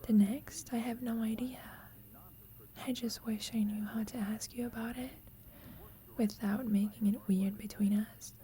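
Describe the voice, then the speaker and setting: A young woman playing a shy, lovestruck student roommate in an intimate ASMR roleplay, confiding hesitantly in a soft, breathy whisper. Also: fairly high pitch, steady tone, a little slow, close to an everyday voice. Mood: anxious, yearning.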